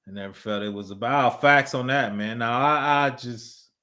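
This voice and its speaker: A man's voice: medium pitch, normal speed, sharp tone. A man, chatting casually on a livestream, reading viewer messages, laughing often and rambling through asides. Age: 50s. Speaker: livestream host reading super chats.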